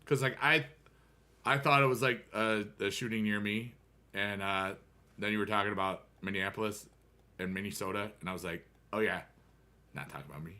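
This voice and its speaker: A man's voice: fairly low pitch, fairly quick, pushed and bright. An American man in his thirties chatting casually with viewers on a game stream, rambling and upbeat with playful rhymes.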